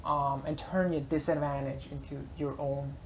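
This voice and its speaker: A man's voice: a little high, little pitch movement, moderate pace, a little hiss or hum, poor audio. A man with a German accent gives an earnest, rambling motivational talk as a vlogger, with many filler words, speaking from a public library space.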